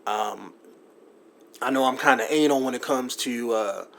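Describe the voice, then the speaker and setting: A man explains his video-editing workflow in a loose, conversational tutorial. His pitch is ordinary for a man. He rambles and fills pauses with ums and you-knows, as if talking over a screen recording. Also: vocal fry, fairly bright tone, a little hiss or hum. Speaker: gaming YouTuber explaining his editing setup. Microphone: USB desktop mic.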